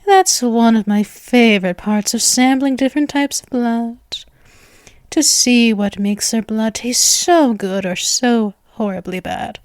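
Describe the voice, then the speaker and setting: Woman acting a slow, doting vampire girl, high-voiced. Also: clean tone, dry recording, clean studio sound, close to an everyday voice. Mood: sweetly musing.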